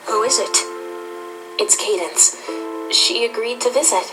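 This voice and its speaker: A woman playing a gentle, worried elder-sister pony princess in an audio drama, earnest and caring. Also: a little high, unhurried, close to an everyday voice, low-quality recording. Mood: kindly. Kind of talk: fan audio drama acting. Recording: music behind the voice.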